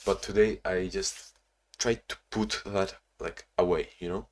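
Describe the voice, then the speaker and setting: A young man talking in a casual, reflective diary-style vlog, halting with frequent restarts and sounding a bit sleepy. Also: sits low, fairly even intonation, darkish tone, a little hiss or hum. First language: not English; Portuguese not first language either. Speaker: vlogger.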